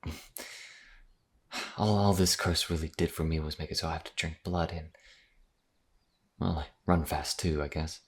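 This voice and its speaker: A man's voice: steady tone, normal speed, long pauses. A man playing a modest, earnest vampire wanderer in a fantasy audio roleplay, explaining things in a hesitant, conversational way. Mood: earnest, subdued. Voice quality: deep, husky.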